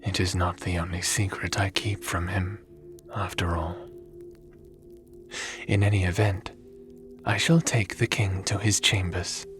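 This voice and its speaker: Man playing a slow, deep, formal spirit being. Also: flat delivery, a bit bright, soft background music. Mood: pensive. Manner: measured, formal, pensive phrasing. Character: calm, curious, formal god-like spirit with secret powers. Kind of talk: ASMR audio roleplay acting.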